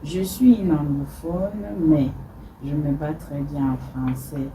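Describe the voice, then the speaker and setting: A woman's voice: sits low, a little slow, mellow. A woman from Cameroon speaking as a radio guest, hesitant with many fillers. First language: Cameroonian local language. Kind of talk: radio interview chat. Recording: distant mic with faint noise.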